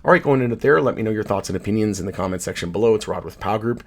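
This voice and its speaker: A man with a somewhat low, hoarse voice hosts a casual commentary on market charts. He rambles easily, leans on fillers and stops to correct himself mid-sentence. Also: expressive, racing. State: hoarse, losing his voice. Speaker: channel host, market commentator.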